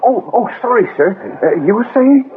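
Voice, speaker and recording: A mild village constable in a radio play, respectful and a little halting. The voice is high and very mobile, on a narrow-band recording. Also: male voice, expressive. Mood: deferential. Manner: deferential, mild, stammering. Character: deferential male village police constable. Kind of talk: radio drama acting. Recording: thin band-limited radio recording with hiss.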